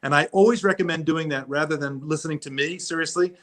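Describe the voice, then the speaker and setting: A man's voice: fairly high pitch, fast, fairly bright tone. Earnest male doctor on a phone. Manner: earnest, hesitant with fillers. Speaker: doctor giving medical advice. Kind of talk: casual advice on a video call. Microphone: phone held in hand.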